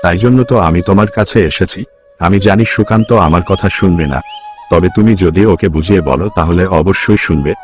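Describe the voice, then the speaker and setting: Man voicing a very deep-voiced scheming village headman. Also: brisk, faint music behind, natural voice. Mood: persuasive. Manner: persuasive, wheedling, falsely friendly. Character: scheming middle-aged village headman, coaxing and sly. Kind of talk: Bengali cartoon story voice acting.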